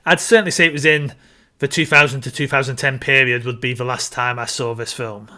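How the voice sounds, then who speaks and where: A man's voice: mid-range voice, steady tone, fast talker, bright, forceful tone. A man co-hosting a podcast, talking casually about films. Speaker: podcast co-host. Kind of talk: casual film discussion.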